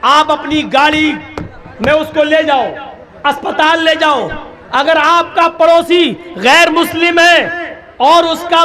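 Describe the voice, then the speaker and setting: A male preacher giving an impassioned sermon, often raising his voice to a shout and slowing down to recite Arabic prayers. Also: very high-pitched. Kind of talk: Islamic sermon.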